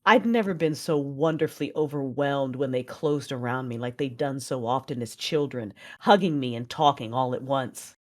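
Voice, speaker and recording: A woman acting as a controlled, proud Sith mother, narrating and speaking in a measured, intense way in an audio drama. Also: a bass voice, darkish tone. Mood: tender. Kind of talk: first-person dramatic narration and dialogue.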